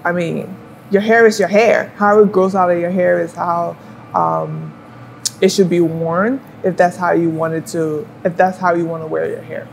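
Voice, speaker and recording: A woman's voice: lowish voice, normal speed, vocal fry, slight background noise, poor audio. Liberian-raised woman hairstylist chatting. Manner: warm storytelling with fillers and rhetorical "you know". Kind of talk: casual chat while styling hair.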